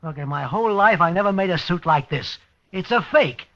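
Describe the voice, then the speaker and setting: An actor playing an excitable, indignant male tailor, agitated and insistent, in 1950s radio drama style. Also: high voice, a little fast, full character voice. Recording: dull vintage 1950s radio recording.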